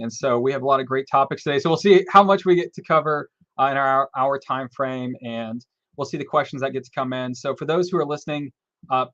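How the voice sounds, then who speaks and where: A man's voice: medium pitch, fairly bright tone, no echo. Male host on a video call, loose and rambling, muffled dry sound. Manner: loose, rambling, friendly asides. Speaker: host of a live panel stream. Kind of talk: casual moderating.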